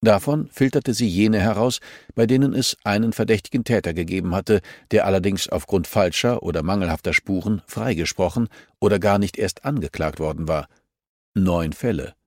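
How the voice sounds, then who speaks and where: Guy narrating a novel, lowish voice, really dry studio sound. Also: normal speed, no echo. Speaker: audiobook narrator.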